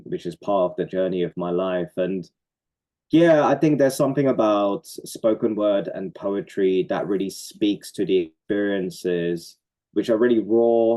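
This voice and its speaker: A man from Norway, a poet and community organizer, talking earnestly and reflectively about his work in an interview. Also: sits low. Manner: reflective, earnest, with soft laughs and fillers. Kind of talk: conversational interview answers.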